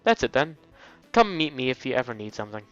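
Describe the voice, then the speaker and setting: A man's voice: mid-range voice, sing-song, fast, fairly bright tone, lo-fi sound, faint music behind. A man voicing a friendly, chatty male school nurse who gives advice in a visual novel. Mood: friendly, cautioning. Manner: friendly, advising. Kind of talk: visual novel dialogue read aloud.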